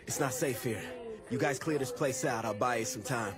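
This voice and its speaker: A man's voice: mid-range voice, normal speed, over music, lightly acted. Man playing a cool, dry gang boss. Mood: urgent. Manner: dry, offhand, decisive. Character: cool, wry tough street gang boss.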